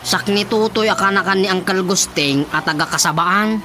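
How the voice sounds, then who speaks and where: A man's voice: high-pitched, moderate pace, bright, forceful tone, a little hiss or hum. An actor with an Ilocano Filipino accent playing a chatty, cheeky young male relative in a radio drama comedy. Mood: pleading, exasperated.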